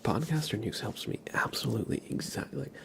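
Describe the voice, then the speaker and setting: Softly whispering man with creaky edges. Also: medium pitch, animated, brisk. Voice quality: soft breathy whisper, often unvoiced. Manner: rambling, conversational, addresses chat by name. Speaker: ASMR live streamer. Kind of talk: ASMR, whispered casual chat with viewers.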